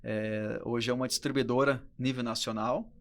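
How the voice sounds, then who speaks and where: A man's voice: medium pitch, steady tone, moderate pace. A Brazilian man in his early forties talking casually as a guest entrepreneur on a podcast. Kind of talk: casual conversational interview talk.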